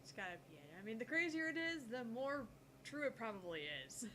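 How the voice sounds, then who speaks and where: A woman co-hosting a let's play, chatting casually with giggly, drawn-out comments, sitting off-mic from across the room. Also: a little high, big pitch swings, sharp tone, a little hiss or hum. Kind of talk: casual chat.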